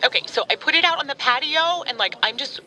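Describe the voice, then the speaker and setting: A ditzy young Florida caller with a high, very bright voice that swoops up and down as she rambles, played by a woman. Her talk is airy and full of fillers. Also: animated, quick pace, full character voice, rough recording.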